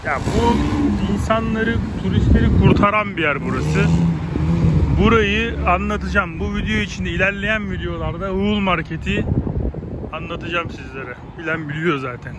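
A man's voice: high-pitched, moderate pace. A man in his thirties from Turkey, chatting casually to viewers while walking a city, recorded on a phone at arm's length outdoors. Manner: chatty, rambling, addresses viewers directly. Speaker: travel vlogger. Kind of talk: casual walking-tour vlog. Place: outdoors on a windy lakeshore and city streets. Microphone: phone held at arm's length. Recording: outdoor phone audio with traffic and wind noise.

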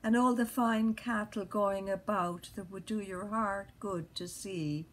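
A woman's voice: mid-range voice, steady tone, unhurried, lightly acted. A woman performing a restless, earthy wife who lies awake musing, in a theatrical stream-of-consciousness monologue. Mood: rapturous.

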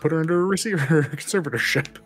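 A man's voice: fairly high pitch, expressive, fast, fairly bright tone, soft background music. A man co-hosting a podcast, casually discussing films and thinking aloud in loose, chatty fragments. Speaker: podcast co-host.

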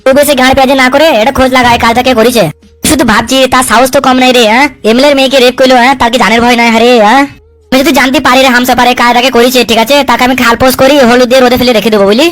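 A woman's voice: high-pitched, fairly even intonation, very fast, fairly bright tone, no room sound. A woman voicing a foul-mouthed, hot-tempered male politician in a comic cartoon, coarse, bullying and full of threats. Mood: angry, threatening. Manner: coarse, swearing, hectoring with repeated tag questions. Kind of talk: comic cartoon dub dialogue.

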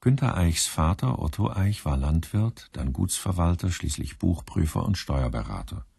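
Male documentary narrator, low, mellow and creaky, scripted biographical read in a dry studio sound. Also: animated, normal speed, dry recording.

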